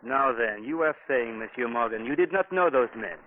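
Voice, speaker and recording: An actor playing an officious, menacing police inspector, speaking in a formal, clipped way in 1940s radio style. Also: male voice, medium pitch, lively intonation, moderate pace, natural voice. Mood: coolly official. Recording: tinny, noisy 1940s radio recording.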